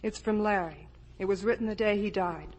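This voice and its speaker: A woman's voice: mid-range voice, fairly quick, darkish tone, close to an everyday voice. A woman acting in a 1950 radio drama as a determined young woman, polite, earnest and pleading. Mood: grave, firm. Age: young adult. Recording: echoey old radio recording.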